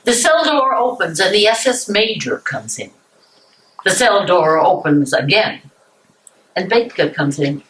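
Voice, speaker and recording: A woman's voice: medium pitch, very animated, measured pace, a bit bright. Echoey, distant woman reading stage directions. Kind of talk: scripted narration. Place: roomy indoor hall, off mic. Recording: echoey, distant mic, noisy room.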